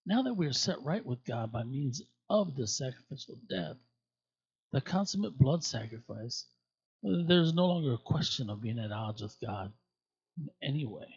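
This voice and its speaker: A man reading scripture aloud expressively, with emphatic, deliberate phrasing and dramatic pauses. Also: mid-range voice, animated, creaky, breathy edge, dull audio. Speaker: scripture reader at a church.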